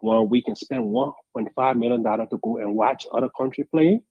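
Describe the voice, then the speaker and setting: A man calling in to a radio talk show, speaking in a deliberate, measured way that builds to emphatic points. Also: medium pitch, big pitch swings, vocal fry, husky, no room sound, narrow, tinny audio. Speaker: caller. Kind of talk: call-in radio commentary.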